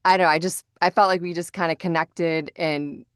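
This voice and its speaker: Crisp, low-voiced woman chatting casually. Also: steady tone, brisk, no echo, studio quality. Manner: chatty, informal, rambling. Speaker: podcast host. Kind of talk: casual chat.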